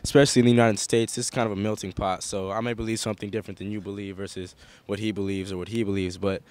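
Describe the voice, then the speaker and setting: A man's voice: fairly low pitch, level tone, fast, darkish tone, slight background noise. A man answering as a guest in a casual interview, hedging with lots of "you know" and "um.".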